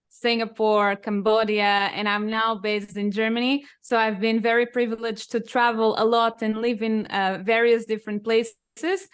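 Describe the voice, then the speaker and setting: A woman's voice: fairly high pitch, smooth. A woman from Portugal, Portuguese as her first language, speaking as a guest on a podcast in enthusiastic casual chat. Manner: enthusiastic, laughs often.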